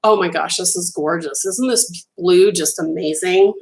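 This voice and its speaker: A woman's voice: on the low side, measured pace, vocal fry, darkish tone. Chatty middle-aged woman gushing over yarn. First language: Italian. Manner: chatty and enthusiastic, gushing over colors, many ums. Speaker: crochet designer hosting a live stream. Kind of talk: casual live-stream yarn unboxing chat.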